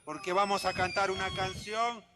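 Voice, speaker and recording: A man's voice: sits high, steady tone, normal speed, very noisy. A man doing interactive musical storytelling for children in a theatrical, coaxing, exclamatory way. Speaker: children's entertainer, singer-storyteller. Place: live outdoor show for children. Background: acoustic guitar.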